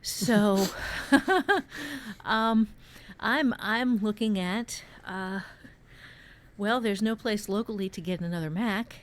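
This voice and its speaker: A woman's voice: mid-range voice, slow pace. A woman with a mid-range voice co-hosts a podcast as an author and teacher. Her talk is casual and conversational.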